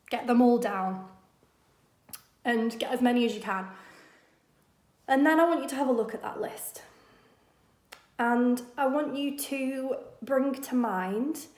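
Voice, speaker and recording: A woman confidence coach talking to camera in a casual, encouraging way, her voice husky from a cold. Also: a little high, roomy sound. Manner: chatty and encouraging, addresses viewers warmly as "my loves". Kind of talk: casual direct-to-camera advice.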